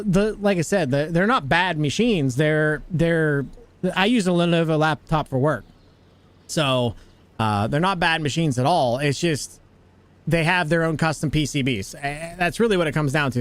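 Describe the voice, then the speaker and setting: A young American man hosting a casual gaming livestream, greeting viewers and joking as he plays. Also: a little high. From United States. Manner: chatty, greets viewers, jokey. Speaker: livestream host.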